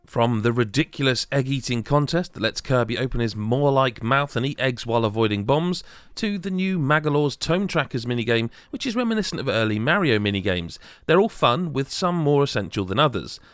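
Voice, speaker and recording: A man narrates a scripted video game review at a medium pitch. The recording is close and dry, with very little room sound, in the manner of a voice-over. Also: a bit bright, dry recording.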